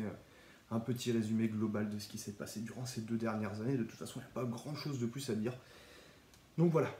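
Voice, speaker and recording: Relaxed young man with a cold. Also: lowish voice, echoey room, slight background noise. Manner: relaxed, chatty, with small restarts. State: has a cold, stuffy. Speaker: Pokémon card collector YouTuber. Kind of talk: casual card showcase vlog.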